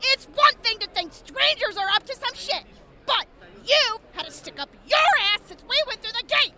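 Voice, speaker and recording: A woman's voice: extremely high pitch, expressive, normal speed, pushed and bright, a faint crowd, exaggerated voice. A woman playing a fiery, blunt young female traveler in a post-apocalyptic audio drama. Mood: furious. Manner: blunt, combative, teasing.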